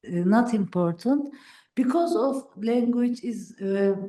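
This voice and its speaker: A woman giving an academic lecture, speaking haltingly and pausing often to search for words. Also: mid-range voice, warm tone. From Turkey. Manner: halting, hesitant, many pauses searching for words. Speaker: guest lecturer. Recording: roomy lecture hall.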